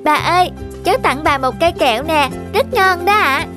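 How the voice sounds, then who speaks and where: A high-voiced, innocent little girl. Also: expressive, normal speed, over music. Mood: friendly, curious. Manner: polite, earnest. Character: innocent, kind, curious little schoolgirl. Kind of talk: dubbed cartoon dialogue.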